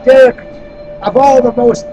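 A man hosting an informal on-the-spot interview, telling stories in an animated way and acting out quoted dialogue. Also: very high-pitched, measured pace, muffled recording. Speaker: interviewer and activist introducing a guest. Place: busy expo venue. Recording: music behind the voice. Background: music.

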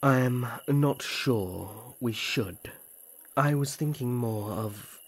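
A man's voice: medium pitch, drawn-out words, vocal fry, breathy edge, exaggerated voice. A man voicing a protective, serious young elf prince who speaks in a formal, guarded way, in a fantasy audio roleplay. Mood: hesitant, concerned.

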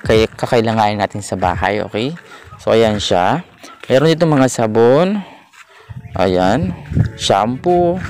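A man with a Filipino accent hosting a screen-recorded app tutorial, talking in a relaxed way and leaning on fillers like "ayan" and "okay". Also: mid-range voice. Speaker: tutorial host. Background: background music.

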